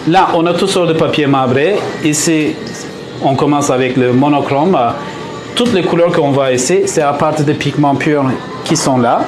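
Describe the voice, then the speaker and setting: A man from Istanbul with a Turkish accent, explaining his paper marbling craft to visitors in his small workshop, in halting French with many pauses. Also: fairly high pitch, reverberant, background noise. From Turkey (Istanbul).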